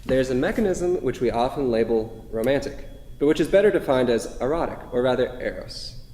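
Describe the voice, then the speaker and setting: An actor playing an earnest, long-winded, pedantic intellectual lover, hedging in academic phrases in a staged play reading. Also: male voice, medium pitch, expressive, fairly quick, dark tone, lightly acted. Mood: pedantic, defensive. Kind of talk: staged play reading before a live audience. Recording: echoey hall, noisy, distant reverb.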